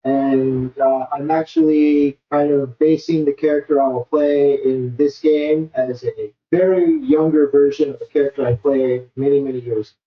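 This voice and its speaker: A man's voice: mid-range voice, fairly even intonation, normal speed, hardly any pauses, lo-fi sound. A middle-aged man from the United States introducing himself as a player, candid and easygoing. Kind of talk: casual self-introduction.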